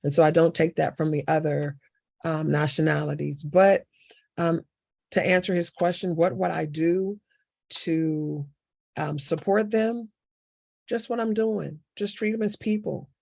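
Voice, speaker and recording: A woman's voice: low-pitched, steady tone, a little slow, creaky, a little mellow, dry recording. A woman from the American South, a city council candidate, answering a panel question with passion and repeating key words for emphasis. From Southern United States.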